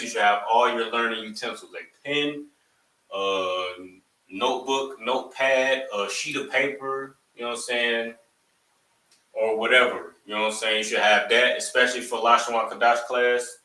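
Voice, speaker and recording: A man's voice: mid-range voice, sharp tone. Man instructor, loose and repetitive, turns emphatic, recorded from a distance in a classroom. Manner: loose and rambling, repeats "you know what I'm saying"; preachy, rising to emphatic lines. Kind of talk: opening remarks to a live class. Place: classroom, also streamed online. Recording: echoey room, mic at a distance.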